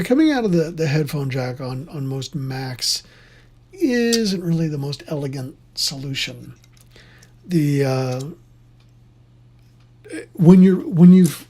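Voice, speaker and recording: An instructor of unclear sex giving an informal, opinionated tutorial in a husky, conversational voice that rambles along. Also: medium pitch, darkish tone. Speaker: instructor in theater sound.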